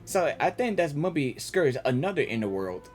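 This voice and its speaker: A woman gaming streamer giving casual live reactions in an informal, halting, exclamatory way. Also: low voice, sing-song, over music.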